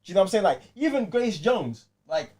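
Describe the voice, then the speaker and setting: A man with a London accent and a high, swooping voice hosts a casual film chat. He talks in slang and circles back on his phrases to drive points home. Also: sing-song, fairly quick, darkish tone, husky. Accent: London British. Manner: slangy, repeats phrases for emphasis. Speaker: podcast host. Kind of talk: casual panel chat about films.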